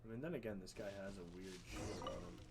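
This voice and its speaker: A man's voice: low-pitched, quick pace, heavy background noise. A man giving casual, slangy gameplay commentary as a laid-back streamer. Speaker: game streamer. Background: video game music and sounds.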